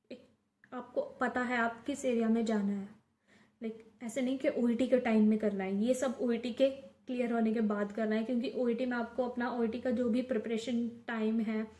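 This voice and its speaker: A woman's voice: a little high, dark tone. A young woman from India giving chatty, casual advice in a vlog, mixing in English words and often restarting her sentences. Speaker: vlogger. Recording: echoey room.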